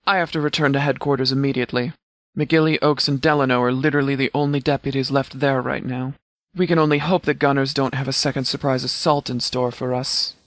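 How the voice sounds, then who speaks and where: A man playing a composed, pragmatic military commander in a video game, speaking in a formal, measured, tactical way. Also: on the high side, a bit bright, no echo, studio quality. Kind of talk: video game dialogue acting.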